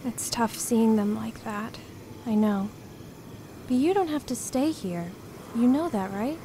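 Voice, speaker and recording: An actress playing a kind, wry spirit woman, Death's emissary, in a radio play, gentle and understated. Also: on the high side, unhurried, a little hiss or hum, natural voice. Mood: gentle, reassuring.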